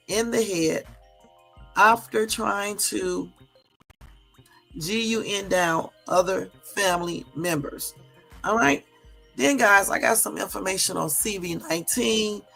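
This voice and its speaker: Hoarse woman hosting a livestream. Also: medium pitch, fairly bright tone, rough recording. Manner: chatty, checks in with listeners with "okay?" and "y'all". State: hoarse from a cold. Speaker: livestream host. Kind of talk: casual livestream chat.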